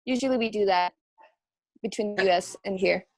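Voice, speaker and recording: A woman, a singer guesting on a podcast, chatting in a relaxed, easygoing way. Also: medium pitch, brisk, no echo. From Mexico. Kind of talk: casual interview chat.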